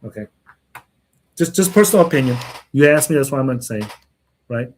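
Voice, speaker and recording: A man's voice: mid-range voice, animated, brisk, a little hiss or hum. A man who grew up in Texas, talking casually in a rambling monologue and answering viewers' questions, often repeating words and tagging his sentences with "right?" and "okay?".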